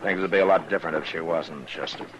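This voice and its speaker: An actor playing a weary, principled frontier marshal, terse and dry, in a vintage Western radio drama. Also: male voice, sits low, fairly even intonation, fast talker, muffled recording, noisy background, close to an everyday voice. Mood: grave, firm. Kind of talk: 1950s radio drama acting, some narration.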